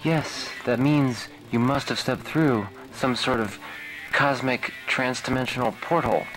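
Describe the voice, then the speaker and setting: An earnest soldier hero, acted by a man, declaims in a mid-pitched voice with stilted seriousness. Faint music sits behind this amateur audio drama. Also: a little slow, lightly acted, low-quality recording. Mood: pondering, earnest. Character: earnest military hero puzzling over parallel worlds.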